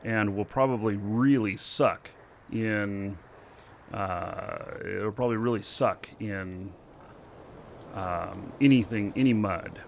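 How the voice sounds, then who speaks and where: Unhurried man musing through a game. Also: on the low side, gravelly, slight background noise. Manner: slow, thinking aloud with many fillers. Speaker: game streamer. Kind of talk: casual gameplay commentary.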